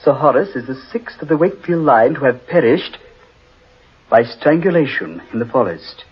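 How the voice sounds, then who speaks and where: Danvers, earnest gentleman in muffled radio horror. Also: medium pitch, animated, moderate pace, slightly rough. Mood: grave. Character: Danvers, uneasy gentleman adventurer, grimly telling a legend. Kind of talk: 1940s radio horror acting. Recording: muffled old radio recording, music behind.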